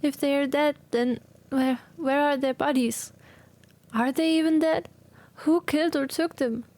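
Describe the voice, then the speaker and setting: A woman playing a distraught but determined girl in a fantasy audio play, asking desperate, halting questions. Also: sits high, fairly even intonation, a little mellow, voice pushed up. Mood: shaken, anxious. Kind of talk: dramatised audio play acting.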